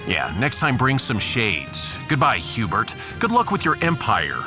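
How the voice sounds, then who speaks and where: Man playing a lively, wry starship captain. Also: mid-range voice, a little slow, over music. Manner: wry, earnest. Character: male starship captain, wry, resolute and hopeful. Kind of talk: sci-fi audio drama acting.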